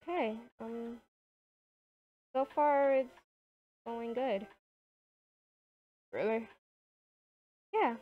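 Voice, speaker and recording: Slow, hesitant woman on lo-fi audio. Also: fairly high pitch. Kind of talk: casual gameplay roleplay chat.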